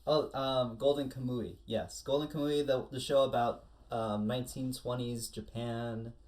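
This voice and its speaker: A man with a middle-pitched voice chats as a co-host in a relaxed group discussion. He recounts things informally, like someone telling friends a story. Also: moderate pace. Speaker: podcast co-host. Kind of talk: casual panel discussion.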